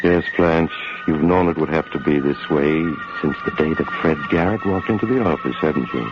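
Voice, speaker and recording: An actor playing an ominous, knowing storyteller who narrates an old-time radio mystery in an insinuating manner. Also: male voice, extremely low pitch, brisk, over loud music. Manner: insinuating, smooth, ominous second-person address. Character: sinister, knowing male storyteller host of macabre tales. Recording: muffled vintage radio with musical underscore.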